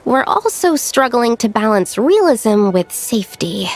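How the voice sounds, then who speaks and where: An earnest young researcher in a video game, with a slightly high voice. She explains carefully and precisely. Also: big pitch swings, unhurried, very noisy.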